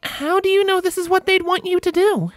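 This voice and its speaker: A man's voice: very high-pitched, steady tone, a little fast, no echo, studio quality, pitched above the performer's own voice. A man voicing an anxious, caring young female unicorn. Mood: concerned.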